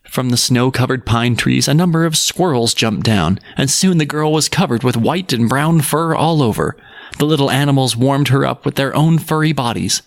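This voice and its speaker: A man's voice: mid-range voice, moderate pace, dry recording, clean studio sound. A man narrating a scripted folk tale as a podcast storyteller.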